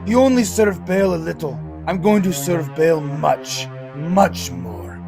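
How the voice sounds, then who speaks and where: A gravelly, scheming ruthless king. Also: a little high, slow. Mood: commanding. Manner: commanding, declamatory. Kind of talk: audio drama acting. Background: music.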